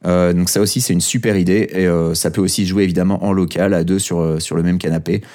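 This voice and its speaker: A man's voice: sits low, fairly even intonation, quick pace, warm tone. A man co-hosting a casual podcast, talking with frequent hesitations and fillers but enthusiastic. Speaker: podcast co-host.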